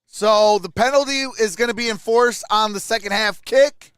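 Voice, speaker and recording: A man's voice: high-pitched, moderate pace, a bit bright. A man hosting a sports stream with casual live reactions and commentary.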